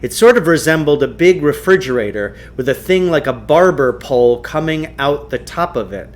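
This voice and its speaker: A man reading a storybook aloud as narrator, in a deliberate, expressive way. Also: on the high side. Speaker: teacher reading a book aloud.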